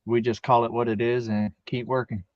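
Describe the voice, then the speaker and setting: A man's voice: medium pitch, flat delivery, fairly quick, darkish tone, no room sound, muffled recording. A man in his forties chatting casually as co-host of a live stream, with folksy, teasing banter. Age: 40s. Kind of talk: casual chat.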